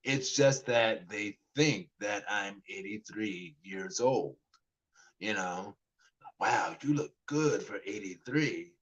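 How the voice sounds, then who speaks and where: A man around forty chats in an unhurried, rambling way, often stumbling and restarting his sentences. His voice sits a little low, and he acts out both sides of the conversations he retells. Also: lively intonation, fairly bright tone, lo-fi sound. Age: 40s. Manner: rambling, many restarts and stammered repeats. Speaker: vlogger. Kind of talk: casual monologue to camera.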